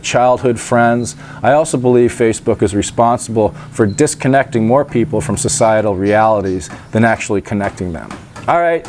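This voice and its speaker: A man's voice: mid-range voice, a little mellow, a little hiss or hum. A man vlogging outdoors in casual talk, a little nasal from a stuffed-up nose, with chatty, wry asides. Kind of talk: casual vlog talk to camera. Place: outdoors in sunshine by a gate.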